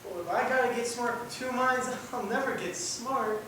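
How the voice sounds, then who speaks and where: A man's voice: very high voice, normal speed. Young man playing a childlike simple man, echoey stage. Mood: puzzled, protesting. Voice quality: ungrammatical, simple speech. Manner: naive, plain-spoken. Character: childlike, earnest, simple-minded young man, eager to learn. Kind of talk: amateur student stage acting. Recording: echoey stage, distant mic, faint hiss.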